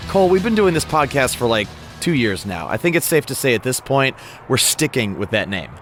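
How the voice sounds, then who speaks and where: A man's voice: medium pitch, big pitch swings, a little fast, soft background music, natural voice. An actor playing an exasperated straight-man podcast host in a video game scene. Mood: chatty. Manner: exasperated, keeping on topic.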